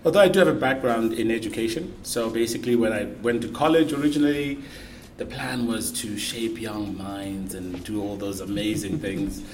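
A man in his forties from Zimbabwe, a podcast guest talking in a relaxed way with many fillers and restarts. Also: lowish voice, normal speed, slight background noise. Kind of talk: conversational interview.

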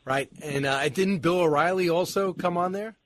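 A man hosting an interview, jokey and quick to laugh. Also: sits high, fairly bright tone, a little hiss or hum. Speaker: host. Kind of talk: casual interview.

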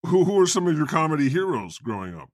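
A man's voice: fairly high pitch, sing-song, fast talker, no room sound. A man hosting a casual interview with joking, teasing banter. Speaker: podcast host, interviewer.

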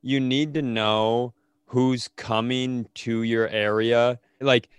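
A man co-hosting a comedy podcast, telling casual funny stories in an animated, sweary way and acting out the dialogue. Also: mid-range voice, slow pace, clear, resonant tone, no echo.

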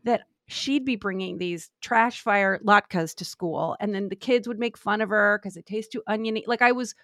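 A woman's voice: medium pitch, moderate pace, fairly bright tone, no room sound, studio quality. A woman telling a rambling, self-deprecating story in a casual podcast chat. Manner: chatty, self-deprecating, many fillers. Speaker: podcast co-host, screenwriter.

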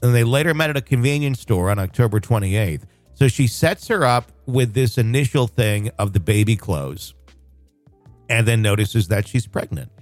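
A man's voice: lowish voice, darkish tone, no room sound. A man hosting a casual podcast, rambling with fillers and restarts. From northwest Arkansas, USA. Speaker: podcast host.

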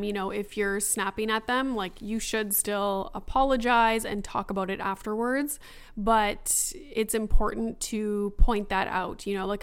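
A young woman hosting a solo podcast in a chatty, casual way, her voice a bit raspy from talking. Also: medium pitch, fairly bright tone. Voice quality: raspy after long talking. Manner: chatty, frequent fillers like "you know" and "like". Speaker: podcast host. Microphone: laptop recording setup.

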